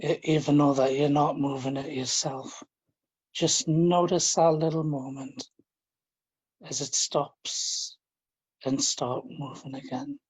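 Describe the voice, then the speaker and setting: A man leading a guided relaxation, speaking in a gentle, informal and halting way, often repeating his phrases. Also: a little high, steady tone, husky, low-quality recording. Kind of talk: guided relaxation, meditation instruction.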